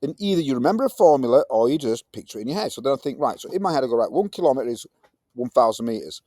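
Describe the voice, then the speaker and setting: Man in his 50s, Yorkshire accent, science tutor, chatty and lively, pitch jumping around, dry close sound. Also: rapid-fire, dry recording. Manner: chatty, lively teacher talk with British slang. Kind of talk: recorded tutoring lesson.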